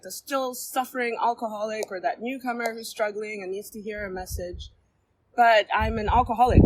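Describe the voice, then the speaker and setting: Woman, 30s, heartfelt recovery share to a group in a room, halting and candid. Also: mid-range voice, a little mellow, a little hiss or hum, low-quality recording. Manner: candid, halting, with many ums and restarts. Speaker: recovery meeting speaker. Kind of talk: personal share at a recovery meeting. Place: meeting room before a seated circle.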